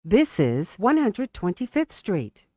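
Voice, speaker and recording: A train announcer reading scripted transit announcements in a formal, measured, clearly enunciated way. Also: mid-range voice, wildly swooping pitch, no room sound, highs cut off.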